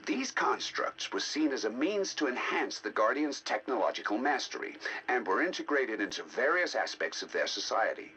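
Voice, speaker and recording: A learned male researcher narrating a video game audio log. His mid-pitched voice rises and falls expressively as he muses over ancient data with deliberate, theatrical fascination. A faint layer of noise sits behind him. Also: very animated, sharp tone, rough recording. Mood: musing, fascinated. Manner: deliberate, theatrical, musing academic delivery. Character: scholarly male scientist, intrigued by ancient alien data. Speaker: researcher narrating decoded alien history logs.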